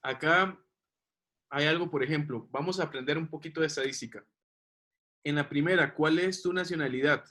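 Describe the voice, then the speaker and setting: A man's voice: a little high, a bit bright. A man teaching a class, explaining patiently and checking that the students follow. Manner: patient, checks understanding with questions.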